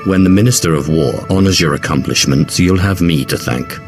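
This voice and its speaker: A man playing a haughty Sith lord in a video game, speaking in a measured, curt, imperious way. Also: low-pitched, close to an everyday voice. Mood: cool, skeptical. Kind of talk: video game character acting. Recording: dramatic music behind.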